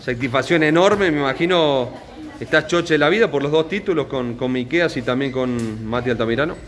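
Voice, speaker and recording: A man's voice: medium pitch, a little fast, fairly bright tone. A man with an Argentine accent asking questions as a sports interviewer on location. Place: indoor martial arts gym. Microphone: handheld reporter microphone.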